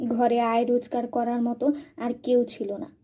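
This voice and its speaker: A woman's voice: a little high, monotone, measured pace, dark tone. A woman narrating a cartoon story from a script as a storyteller.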